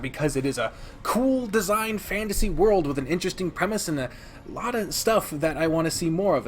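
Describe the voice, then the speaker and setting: A man with a fairly high-pitched voice talks through an opinionated countdown review in a casual way. He rambles, leans hard on his words and swears freely. Soft music plays behind him. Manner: profane, rambling, emphatic. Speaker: video host and game reviewer.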